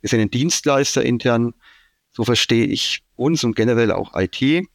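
A man's voice: mid-range voice, moderate pace, vocal fry, dry recording, studio quality. A man from Franconia with a Franconian German accent, an IT manager being interviewed, explaining things at length with many fillers. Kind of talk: casual business interview.

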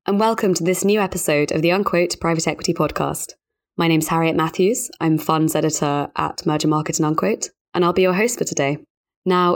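A woman with a low-pitched voice speaks as a podcast host. She sounds like a journalist presenting a professional discussion show. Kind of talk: scripted podcast introduction and interview discussion.

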